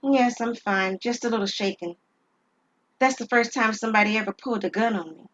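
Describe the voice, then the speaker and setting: Woman acting a soft, high-voiced shaken woman. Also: brisk, muffled recording, slight background noise, natural voice. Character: shaken, puzzled woman robbery victim.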